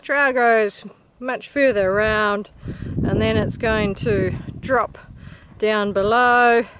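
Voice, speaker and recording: A woman's voice: mid-range voice, steady tone, slow. A middle-aged woman with a New Zealand accent, chatting enthusiastically to a handheld camera while hiking outdoors. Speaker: hiker vlogger. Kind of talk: casual walking vlog commentary. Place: outdoors on a desert mountain trail. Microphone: camera held at arm's length. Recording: noisy outdoor camera audio.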